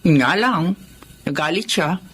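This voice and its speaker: A fair, kindly restaurant boss in a radio drama. She speaks calmly and reassuringly in a lowish voice, with faint noise behind. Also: unhurried. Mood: calm, understanding. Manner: calm, reassuring. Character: kind, fair-minded female restaurant manager. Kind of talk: Filipino radio drama acting.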